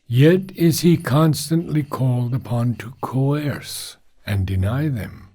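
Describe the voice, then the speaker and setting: A man reading formal philosophical prose aloud in a deliberate, measured way, pausing between short phrases. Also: medium pitch, mellow, husky. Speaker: reader of a philosophical book.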